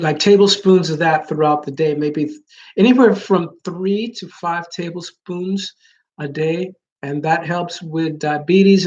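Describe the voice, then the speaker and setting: A man with a naturally high voice who talks loudly, rambling with many fillers and restarts in a casual live chat with his viewers. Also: dull audio. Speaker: vlogger.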